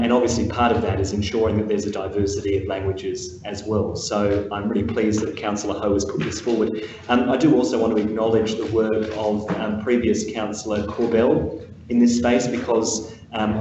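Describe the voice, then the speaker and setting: A man speaking as a city councillor at a council meeting, with an even, steady delivery. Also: lowish voice, recorded from a distance, highs cut off, slight background noise. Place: large formal council chamber. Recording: echoey hall from afar.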